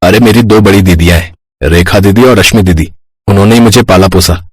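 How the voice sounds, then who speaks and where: A man's voice: low voice, fairly quick, breathy edge, no room sound. A man playing a cheerful, affectionate young brother in a family story. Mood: friendly.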